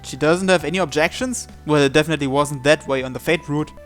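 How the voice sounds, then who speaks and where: A man's voice: a little high, fast talker, faint music behind. A man reading visual novel narration aloud as he plays, with casual asides. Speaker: video game player reading along.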